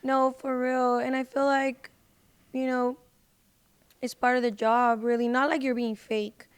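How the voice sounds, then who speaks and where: High, smooth-voiced woman chatting casually. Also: flat delivery, unhurried. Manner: informal, heavy use of "like" and "you know". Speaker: podcast guest, singer and social media personality. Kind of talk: casual chat.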